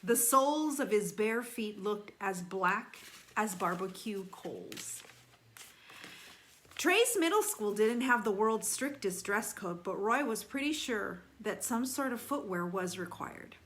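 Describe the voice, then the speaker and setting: A woman reading a children's novel aloud like a teacher, animated, with deliberate emphasis and dramatic slowing on key phrases. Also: medium pitch. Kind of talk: expressive children's read-aloud.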